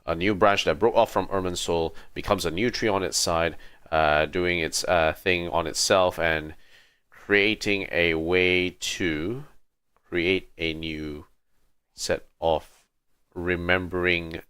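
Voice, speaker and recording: A man giving casual livestream commentary on a game, musing aloud in a halting way. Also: sits low, fairly even intonation, a bit bright, clean tone, no echo, clean studio sound. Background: faint game music.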